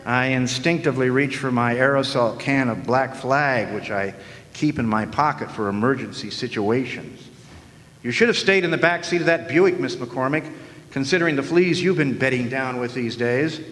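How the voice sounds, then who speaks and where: A man's voice: mid-range voice, moderate pace, lightly acted. Man playing a wisecracking hard-boiled private eye, echoey hall. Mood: tough, sardonic. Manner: deadpan, wry, slangy. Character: wisecracking hard-boiled male private detective narrating his case. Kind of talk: noir radio drama narration and dialogue. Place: large hall, live stage performance. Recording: echoey hall, live stage performance.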